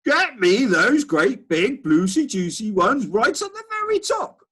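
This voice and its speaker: A man's voice: extremely high pitch, very animated, measured pace, slightly rough, voice pushed up, full character voice. A man voicing a pompous, short-tempered old duke who bellows and splutters. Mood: greedy demanding. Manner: blustering, shouting.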